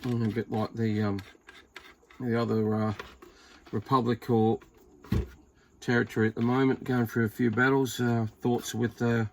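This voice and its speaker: An Australian man giving casual hands-on commentary as a hobby reviewer, rambling informally and thinking out loud. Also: medium pitch, level tone, moderate pace, long pauses. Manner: rambling, informal, thinking aloud with mild swearing. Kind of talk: casual unboxing and test commentary. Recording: noticeable background noise.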